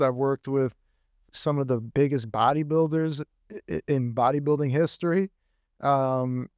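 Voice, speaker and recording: A man being interviewed as a fitness coach, answering earnestly and thinking aloud in measured phrases. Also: a little high, a little mellow, clean tone, no echo, studio quality.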